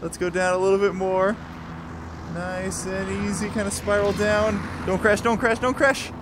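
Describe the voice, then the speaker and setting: A young man gives casual, excited commentary as he plays a video game, playful and full of exclamations. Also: high-pitched, normal speed, noisy background. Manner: playful, exclamatory, talks along while moving in a VR game. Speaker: gaming video host.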